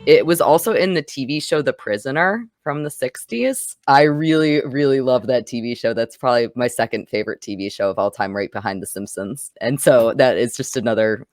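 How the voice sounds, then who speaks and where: A woman's voice: very deep voice, fairly quick, hardly any pauses, a little mellow, dry recording. A woman discusses films casually on a podcast. She sounds enthusiastic and emphatic, and her voice is recorded close and dry. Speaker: film review podcast host.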